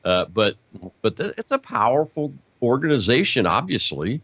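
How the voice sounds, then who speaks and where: A male host asking interview questions in a halting way, with many fillers and restarts. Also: mid-range voice, big pitch swings, a bit bright, slightly rough, no echo, highs cut off.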